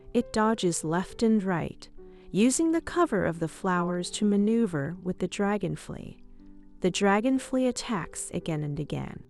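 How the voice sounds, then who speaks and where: A woman narrates a bedtime story for young children in expressive read-aloud fashion. Her voice sits at a medium pitch for a woman, and music plays behind her.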